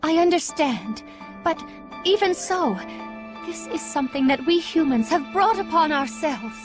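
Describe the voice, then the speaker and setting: A high-voiced, desperate young woman. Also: expressive, unhurried. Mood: grave, urgent. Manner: pleading, urgent, formal speech. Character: earnest, desperate young woman, a self-sacrificing sister. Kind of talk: dramatic video game voice acting. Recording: music under the voice.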